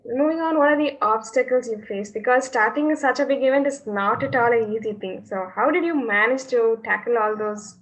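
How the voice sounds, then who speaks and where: A woman's voice: fairly high pitch, a little fast, hardly any pauses. A young woman interviewing a guest, asking casual questions and often saying "like". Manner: casual, uses filler "like". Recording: dull lo-fi audio.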